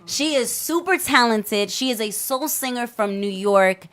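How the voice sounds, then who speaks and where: A woman hosts a podcast in casual style and hypes up her guest with lots of energy. Her voice sits a bit high. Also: animated, unhurried. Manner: hyped, enthusiastic, shouting out the guest. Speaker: podcast host.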